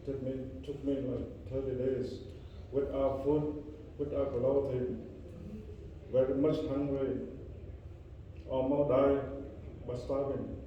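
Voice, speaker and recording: An older man from Vietnam with a Vietnamese accent, telling his story as a refugee in halting English full of repeats and restarts. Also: medium pitch, little pitch movement, a little hiss or hum. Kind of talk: personal testimony to an audience. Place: room with listeners. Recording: dull, roomy sound from a distance.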